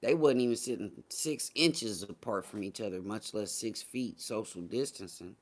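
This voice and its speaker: A man's voice: on the low side, normal speed. An American man in casual, unscripted talk, opinionated and blunt, with slangy phrasing and plenty of swearing. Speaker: morning show host. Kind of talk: casual chat.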